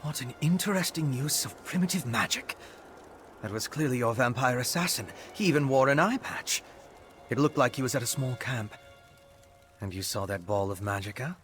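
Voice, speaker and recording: An actor voices an erudite, excitable gentleman scholar in a fantasy game. His delivery swings widely in pitch, theatrical and cheerfully condescending. Music plays behind him. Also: normal speed, lightly acted. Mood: intrigued, pleased. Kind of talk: video game character voice acting.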